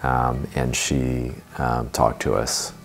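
A man in his forties telling his family's story in an interview, seated on a living room sofa, speaking steadily with many pauses. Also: a bass voice. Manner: measured, steady telling with frequent uh fillers. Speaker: parent interviewee. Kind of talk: interview testimonial.

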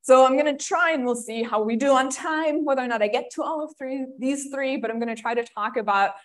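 A woman's voice: high-pitched, fairly quick. A woman from Germany giving a friendly, chatty academic seminar talk with many ums. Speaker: guest lecturer.

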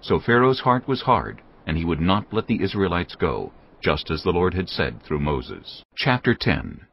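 A man narrating a dramatized audio Bible in a grave, measured reading. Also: sits low, big pitch swings, muffled recording, slight background noise.

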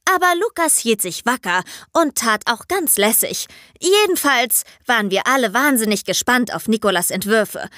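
Bright, spirited teen band girl. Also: on the high side, dry recording, clean studio sound. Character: spirited teenage girl band member and storyteller. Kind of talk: German children's audio drama, narration and dialogue.

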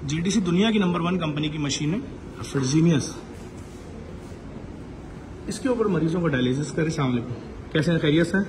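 A man from talks straight into a phone held at arm's length, with an urgent, persuasive push. His voice sits a little high for a man. Steady background noise from the street and the ward stays under every word. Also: moderate pace, pauses often. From Pakistan. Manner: urgent, persuasive, piles up lists. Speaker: charity head giving a tour. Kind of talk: walking vlog and donation appeal. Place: outdoors on a city street, then inside a dialysis ward. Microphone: phone camera at arm's length.

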